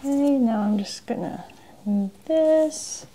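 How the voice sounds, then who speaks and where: A soft-spoken woman in an ASMR role-play as a patdown agent, giving polite step-by-step instructions and often slipping into whispers. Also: fairly high pitch, lively intonation, takes it slowly, slight background noise.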